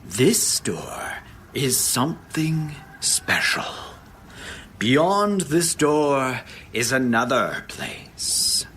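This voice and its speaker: An actor playing a menacing haunted-house tour guide in a horror audio drama, with theatrical, partly whispered delivery. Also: male voice, mid-range voice, very animated, a bit bright, slight background noise, heavily acted. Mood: ominous, teasing. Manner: slow, dramatic, with whispered phrases. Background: music.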